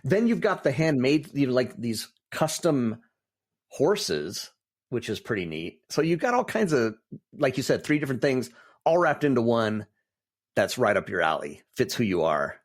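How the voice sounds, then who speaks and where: A man's voice: mid-range voice, big pitch swings, normal speed. A man hosting a podcast, chatty and enthusiastic as he interviews his guest. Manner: enthusiastic, rambling, warmly encouraging. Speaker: podcast host. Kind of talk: casual interview.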